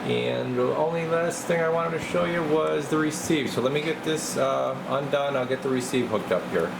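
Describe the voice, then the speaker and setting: A man's voice: medium pitch, normal speed, creaky. A man giving a casual hands-on demonstration as a repair technician, talking himself through each step informally. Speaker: radio repair technician presenting his bench work. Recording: noisy workshop recording with loud steady hiss.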